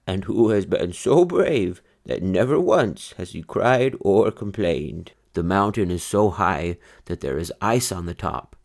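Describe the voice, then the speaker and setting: A man plays an old grandfather bunny from a children's storybook, wise and kind. The voice is low, with solemn, unhurried praise that rises and falls expressively. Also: creaky, a little mellow. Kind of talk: children's storybook read-aloud.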